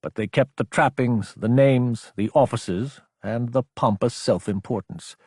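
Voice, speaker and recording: Male narrator for sci-fi audiobook: husky mid-range voice, serious and measured, dramatic scripted read. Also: medium pitch, darkish tone, no echo, clean studio sound.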